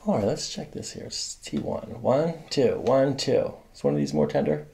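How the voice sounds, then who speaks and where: A man in his thirties working as a chiropractor, calmly talking a patient through treatment with short, soothing, repeated instructions. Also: medium pitch, moderate pace, gravelly, background noise. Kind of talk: calm clinical talk with patient during ASMR adjustment. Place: small carpeted office treatment room. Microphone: microphone on boom stand nearby.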